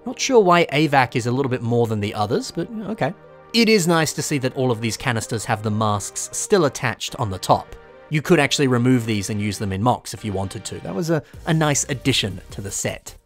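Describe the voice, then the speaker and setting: A young man, talking casually over a shopping vlog and weighing up toy prices. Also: mid-range voice. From Australia. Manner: chatty, opinionated about prices. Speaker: toy collector vlogger.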